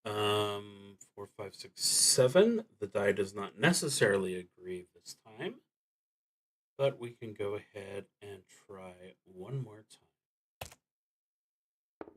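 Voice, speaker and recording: A man with a lowish voice talks his way through a solo tabletop game. His delivery is very slow and deliberate, with halting stretches where he works out ideas aloud and lets thoughts trail off. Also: lively intonation, background noise. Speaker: solo tabletop roleplaying streamer. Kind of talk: thinking aloud while playing a solo roleplaying game.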